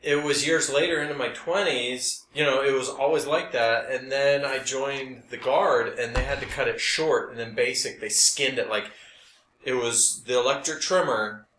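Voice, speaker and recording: A middle-aged man co-hosting a podcast, telling casual stories in an animated, jokey, self-deprecating way. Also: medium pitch, moderate pace, a little hiss or hum. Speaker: podcast co-host. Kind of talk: casual storytelling chat. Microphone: podcast microphone. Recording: roomy, echoey room sound.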